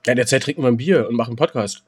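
A man with a husky voice, chatting casually and excitably as a podcast co-host, often repeating himself. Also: medium pitch, rapid-fire.

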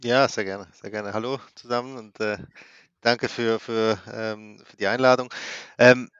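A man's voice: lowish voice, fairly even intonation, a little slow, a bit bright, clean tone, no echo, highs cut off. A man from Switzerland, a guest fund manager on an interview podcast, speaking with many fillers and restarts.

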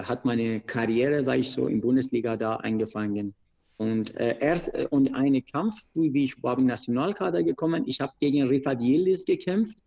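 Iranian guy telling his wrestling story, raspy gravelly voice, broken german, lots of restarts. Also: medium pitch, darkish tone, dull audio. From Iran. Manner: broken grammar, frequent restarts and hesitations.

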